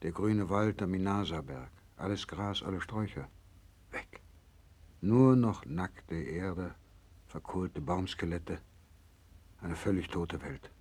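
An actor playing a grave, resolute doctor who survived a disaster, in a 1960s German radio play, solemn when narrating and commanding when giving orders. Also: male voice, sits low, expressive, darkish tone, husky, full character voice. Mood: grave, stunned. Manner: solemn measured narrating, urgent commanding in dialogue. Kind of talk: 1960s German radio drama acting, first-person narration.

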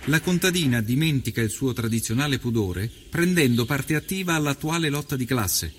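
An actor playing an earnest nineteenth-century Italian investigative journalist, narrating his reportage in measured, literary first person for a documentary. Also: medium pitch, animated, slight background noise, lightly acted. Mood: observant, wry.

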